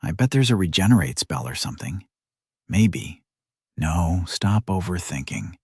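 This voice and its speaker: A man's voice: deep voice, big pitch swings, measured pace, a little mellow, slightly rough, no room sound, clean studio sound, pitched below the performer's own voice, full character voice. A man voicing an ordinary young man stranded in a magic world, wary and sarcastic, with a wry, self-muttering way of talking. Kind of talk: audiobook character voice.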